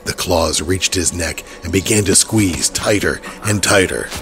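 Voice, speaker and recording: A man's voice: sits low, measured pace, over music. A man narrating a horror audio drama in a dramatic, scripted storytelling style. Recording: clear horse and carriage ambience behind.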